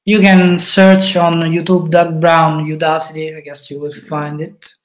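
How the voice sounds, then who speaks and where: Slow halting Italian man demoing code. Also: fairly high pitch, flat delivery. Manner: halting, thinks aloud with fillers. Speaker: webinar presenter. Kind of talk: live coding walkthrough.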